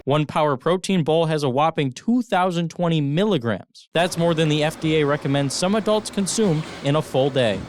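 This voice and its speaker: A man's voice: a little high, moderate pace, few pauses, slight background noise. A young American man narrating scripted news reports as a student television reporter.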